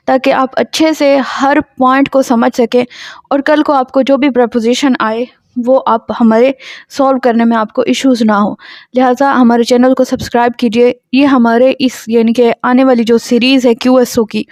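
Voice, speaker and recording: A woman's voice: high voice, a little mellow, studio quality. A woman with a Pakistani accent, a law lecturer giving an explanatory introductory lecture to students, mixing in English terms. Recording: boxy echoey room, dull lo-fi sound.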